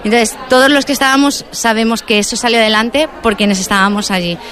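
A woman emergency nurse from Madrid speaks as an interview guest. Her voice is slightly high, and she tells her story earnestly and modestly. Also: fairly even intonation, a little fast, fairly bright tone, a faint crowd. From Madrid, Spain.

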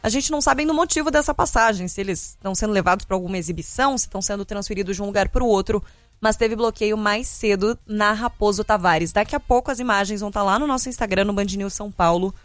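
A woman's voice: medium pitch, fast, dry recording, soft background music. A Brazilian woman presenting live radio news and traffic updates in a conversational, explanatory way. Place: radio studio.

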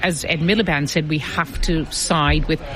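A woman correspondent giving measured radio analysis, with frequent fillers and restarts. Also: low voice, fairly even intonation, fairly bright tone, rough recording. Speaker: foreign correspondent.

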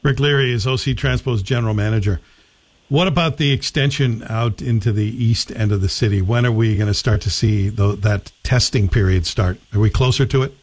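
A man's voice: on the low side, a little fast. A man with a Canadian accent, interviewing conversationally as host of a morning radio show.

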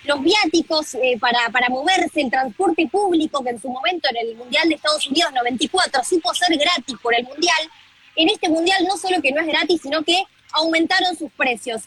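An Argentine woman reporting live from the tournament in a brisk, informative way, with frequent "eh" fillers. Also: high voice, a bit bright, poor audio. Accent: Argentine Spanish.

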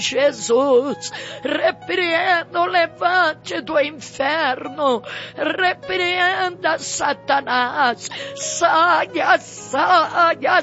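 A woman's voice: very high voice, fairly bright tone. A Brazilian woman leading a fervent intercessory prayer as a preacher, pleading tearfully in short phrases. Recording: dull lo-fi audio with music. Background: music.

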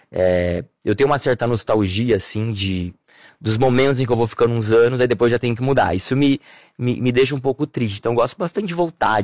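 A Brazilian man in his thirties, an interview guest, telling childhood memories in a warm, nostalgic way with many fillers. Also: medium pitch, no echo, studio quality. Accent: Brazilian Portuguese. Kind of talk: relaxed personal conversation.

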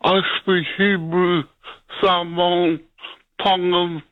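A man calling in to a radio show, chatting casually in a halting, hesitant way over a dull phone call. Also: high-pitched, flat delivery, dry recording. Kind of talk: casual chat.

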